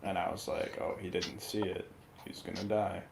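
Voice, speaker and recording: A man reviewing a film in casual radio chat, halting as he searches for words. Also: low-pitched, fairly even intonation, creaky, background noise.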